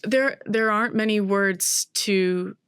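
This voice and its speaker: A woman in her twenties, a guest in an interview, talking in a thoughtful, searching way with many pauses and restarts. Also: medium pitch, a bit bright, clear, resonant tone, no echo, studio quality. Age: 20s. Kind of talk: reflective interview conversation.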